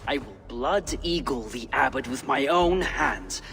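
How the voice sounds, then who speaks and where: An actor playing an impetuous young Viking warlord in a video game, vehement and declamatory. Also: male voice, medium pitch, lively intonation, unhurried, fairly bright tone, long reverb tail, faint music behind. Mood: vengeful fury. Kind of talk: video game cutscene acting.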